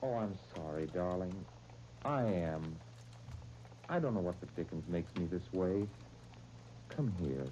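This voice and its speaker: A man's voice: medium pitch, very animated, a little fast, mellow, close to an everyday voice. An American man in 1930s radio soap style, playing a moody, jealous, insecure lawyer husband who turns from sarcastic to tender. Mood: tender, contrite. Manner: sarcastic, agitated, swinging between bitter and tender. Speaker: radio actor. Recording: dull, noisy 1930s radio recording.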